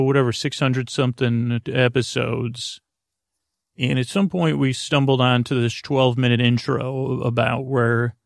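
A man hosting a podcast in a rambling bedtime monologue, halting and often interrupting himself as he meanders. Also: medium pitch, creaky, no room sound, clean studio sound. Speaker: podcast host.

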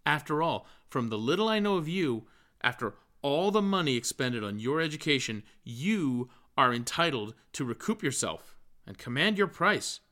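A man voicing an earnest, well-meaning middle-aged gentleman who lectures in a pompous, verbose, fussy way for an audiobook. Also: a little high, very animated, normal speed, pitched above the performer's own voice. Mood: eager, insistent.

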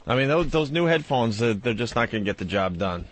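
A man hosting a radio show, chatting casually and laughing with his co-host and guest. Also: medium pitch. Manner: relaxed, laughing, quick asides.

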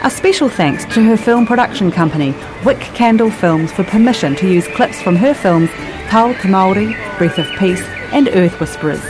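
A woman's voice: mid-range voice, lively intonation, normal speed. A woman reading a scripted podcast outro as its presenter. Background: music.

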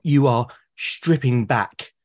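A man's voice: medium pitch, slow, darkish tone. Male guest, mid-range voice, emphatic and enthusiastic. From Britain. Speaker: podcast guest. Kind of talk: conversational interview.

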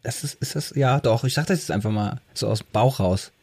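A man's voice: medium pitch, brisk, dark tone, slightly rough. A man co-hosting a podcast in casual, bantering talk. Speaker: podcast host.

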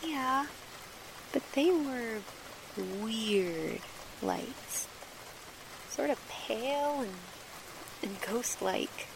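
A woman's voice: fairly high pitch, expressive, gravelly, heavily acted. A woman playing a timid, frightened teenage girl in the style of 1940s radio drama, speaking in a hesitant way. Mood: uneasy. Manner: hesitant, halting, fearful. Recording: noisy recording with rain.

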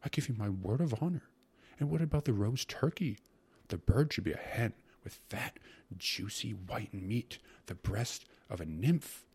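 A man voicing a fussy, gluttonous court secretary in a storybook reading, coaxing and savoring each word with a breathy, stammering delivery. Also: fairly low pitch, lively intonation, a little slow, darkish tone, pitched above the performer's own voice, exaggerated voice. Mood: rapturous, coaxing. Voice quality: breathy, often dropping to a whisper. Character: fussy, gluttonous male court secretary, rapturous about food.

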